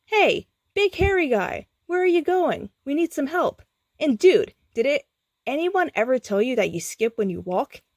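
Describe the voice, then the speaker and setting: A cheeky, clueless slacker guy from a storybook, voiced by a woman. He talks in teasing slang, pitched well up, with a dry sound. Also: sing-song, normal speed, no room sound.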